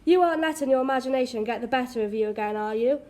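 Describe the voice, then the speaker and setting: A woman's voice: high-pitched, rapid-fire, a little mellow, natural voice. A woman playing a sensible, bossy young sister in an amateur radio drama. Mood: scolding, brisk.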